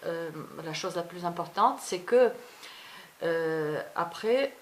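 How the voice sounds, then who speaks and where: A woman whose native language is not French records an unscripted personal vlog, speaking in halting fragments that often trail off. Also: on the low side, lively intonation. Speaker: video blogger.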